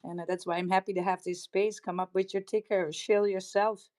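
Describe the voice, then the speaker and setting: A woman's voice: mid-range voice, little pitch movement, brisk, a little mellow. A woman from the Netherlands hosting a live online audio chat, bubbly and chatty, laughing often and trailing off mid-sentence. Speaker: host of a live crypto audio space. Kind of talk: casual live-stream chat.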